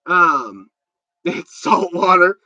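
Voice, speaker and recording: A congested man rambles through a casual livestream and reads out his viewers' comments. His voice is very bright and edgy and sits slightly high. The audio is dry and dull, like a lo-fi stream. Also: animated, very slow, dry recording. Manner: rambling and chatty, with repeated restarts. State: stuffed up. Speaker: livestream host.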